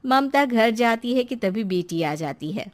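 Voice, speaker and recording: A woman's voice: on the high side, expressive, unhurried. A woman narrating a scripted family moral story as its storyteller.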